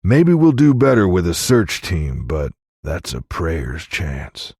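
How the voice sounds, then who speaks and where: Man acting a deep, grim Old West sheriff. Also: lively intonation, darkish tone, slightly rough, dry recording, clean studio sound, heavily acted. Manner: slow, folksy, blunt.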